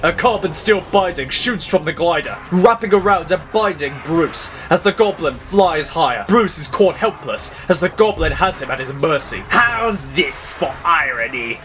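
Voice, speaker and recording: Male storyteller, slightly high voice, lively intonation, dramatic narration, clear music bed. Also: normal speed, few pauses.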